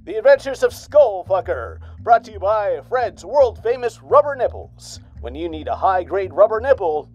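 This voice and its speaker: A man announcing in an over-the-top 1940s radio serial manner, pressing hard on each cliffhanger. His voice is a touch high for a man, and soft music runs underneath. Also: big pitch swings, normal speed, few pauses, low-quality recording. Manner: dramatic announcer delivery. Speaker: narrator and announcer.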